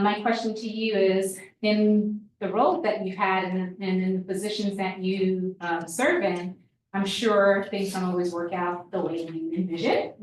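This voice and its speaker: A woman's voice: medium pitch, a little hiss or hum. Woman, audience questioner, polite, hesitant, distant, heavy reverb. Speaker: audience member asking a question. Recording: echoey hall from far off.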